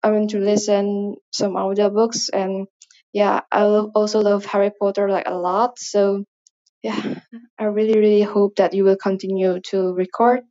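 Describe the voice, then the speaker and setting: A woman's voice: mid-range voice, flat delivery, moderate pace, dark tone. Indonesian woman rambling in a lo-fi message. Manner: rambling, hesitant, many fillers. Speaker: listener leaving a voice message. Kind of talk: casual spoken voice message.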